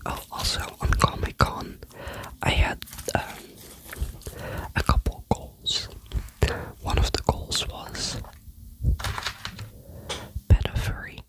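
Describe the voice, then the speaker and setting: A vlogger telling a rambling, chatty personal story in a soft ASMR whisper. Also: slow pace, long pauses, rough recording. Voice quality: whispering throughout.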